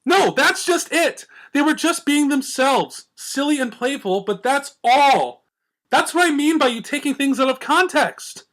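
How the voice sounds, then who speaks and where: A man's voice: very high-pitched, animated, a little slow, a bit bright, pitched above the performer's own voice, heavily acted. A man voicing an earnest female pony in a story reading, patient but frustrated, reasoning emphatically. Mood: exasperated.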